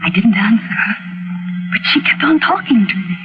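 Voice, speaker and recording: An actress playing a terrified, unhinged wife in an old radio mystery, stammering and pleading in a melodramatic style. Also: female voice, mid-range voice, expressive, normal speed, lightly acted. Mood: haunted, fearful. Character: terrified, unhinged wife tormented by guilt and hallucinations. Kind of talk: 1940s radio mystery acting. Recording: tinny vintage radio broadcast with music.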